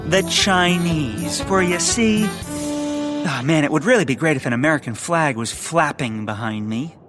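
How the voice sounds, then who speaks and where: A pompous, bumbling rich eccentric, played by an actor in an audio drama. He speechifies grandly, then trips over himself. His voice sits high over clear background music. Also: unhurried, close to an everyday voice. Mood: grandiose.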